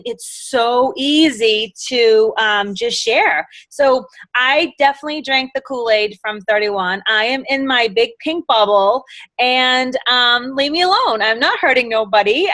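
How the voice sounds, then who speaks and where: A woman's voice: a little high, dry recording. A middle-aged woman with a Boston accent gives an enthusiastic, rambling motivational talk as a guest speaker, often drifting off topic. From Massachusetts. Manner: enthusiastic, rambling, quotes others in lively voices.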